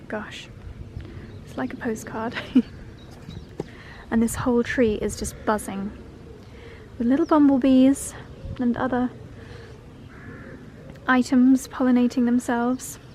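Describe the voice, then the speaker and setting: Woman, lifestyle vlogger, gushing and effusive, slightly high voice. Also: fairly even intonation, slow pace, pauses often, darkish tone, a little hiss or hum. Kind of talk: casual vlog.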